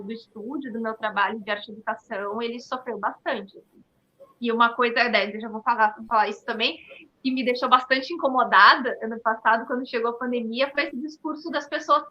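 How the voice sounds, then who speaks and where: A woman's voice: fairly high pitch, sharp tone, dull audio. A woman with a southern Brazilian accent from Rio Grande do Sul, answering in a conversational interview in an earnest, explanatory way, peppered with tag questions. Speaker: dance artist and studio owner, interview guest.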